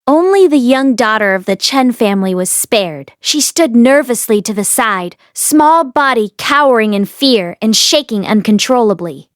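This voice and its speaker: A woman's voice: on the high side, no room sound. A woman narrator reading fiction aloud expressively as an audiobook host, close to the microphone.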